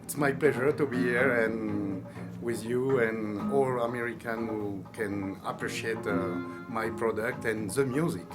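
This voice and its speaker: Slow-speaking Frenchman with music behind. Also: medium pitch, steady tone. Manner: halting, polite, philosophical. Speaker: amplifier maker being interviewed. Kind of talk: casual interview. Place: indoor trade show demo room. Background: guitar music.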